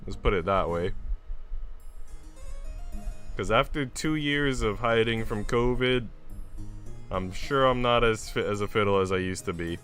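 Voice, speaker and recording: A man's voice: fairly low pitch, unhurried, pauses often, fairly bright tone. A young adult man, chatting informally and slangily to viewers as a live streamer. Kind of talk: casual livestream chat with viewers. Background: music playing.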